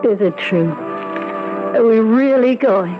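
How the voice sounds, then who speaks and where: An actress playing a fragile, dreamy bride in a 1970s radio drama, earnest and romantic. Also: female voice, fairly high pitch, expressive, slow. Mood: wistful, loving. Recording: thin phone-like old radio, music behind.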